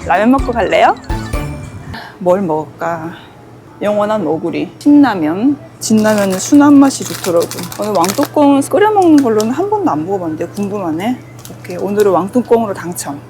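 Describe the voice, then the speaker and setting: A woman's voice: mid-range voice, sing-song, brisk. A middle-aged woman from Busan with a Busan dialect accent, chatting casually as a travel vlogger outdoors, exclaiming often. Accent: Busan Gyeongsang dialect. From Busan, South Korea. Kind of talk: casual vlog chat. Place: outdoors by a river and in a convenience store. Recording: background music throughout.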